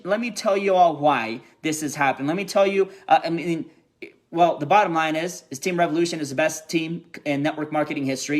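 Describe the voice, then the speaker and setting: A young man, a network marketing team leader, giving an emphatic, repetitive motivational pep talk and often checking in with his listeners. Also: a little high. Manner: emphatic and repetitive, keeps checking in with "okay?" and "right?".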